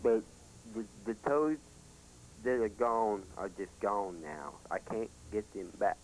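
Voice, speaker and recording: A man's voice: lowish voice, animated, slow, a little hiss or hum, rough recording. A man calling in to a show, asking questions in a plain, persistent, earnest-sounding way. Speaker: phone-in caller.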